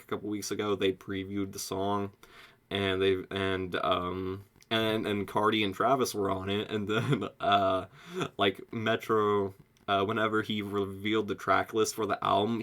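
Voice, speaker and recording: A young American man giving a casual, excitable album review, slangy and sweary, saying "like" constantly. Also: lowish voice. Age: young adult. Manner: excitable, slangy, heavy use of "like", frequent swearing. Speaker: music reviewer.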